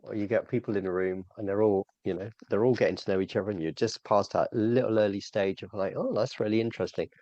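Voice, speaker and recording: Lowish male voice, chatty, filler words, dry muffled sound. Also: fairly even intonation, quick pace, hardly any pauses, warm tone, dry recording. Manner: chatty, laughs often, fillers. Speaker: podcast guest. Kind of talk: casual panel chat.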